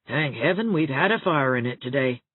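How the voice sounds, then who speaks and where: A woman's voice: rumbling low, lively intonation, normal speed, husky, no echo, narrow, tinny audio, exaggerated voice. Woman putting on a panicky, tragic, fussy housekeeper. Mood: anxious relief.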